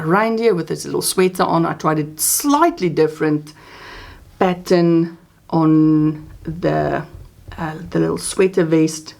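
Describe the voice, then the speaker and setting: Female crafting host, Afrikaans first language, low gravelly voice, chatty and meandering. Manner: chatty, rambling with many restarts and fillers. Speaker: crochet channel host. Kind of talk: casual crafting chat.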